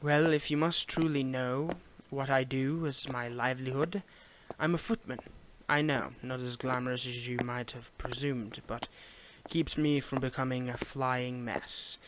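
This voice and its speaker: Clear background noise sits under a man acting out a gentlemanly Victorian footman in an intimate audio roleplay. His voice is mid-pitched and gentle, sometimes sinking toward a whisper. He speaks with halting, formal politeness, weary yet flirtatious. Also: steady tone, lightly acted. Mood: polite, chatty. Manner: formal old-fashioned phrasing, gentle, halting, lapsing into whispers.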